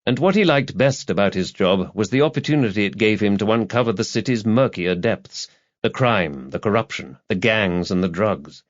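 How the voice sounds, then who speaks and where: A man's voice: fairly low pitch, animated, moderate pace, lo-fi sound. A man narrating a scripted crime novel as an audiobook reader.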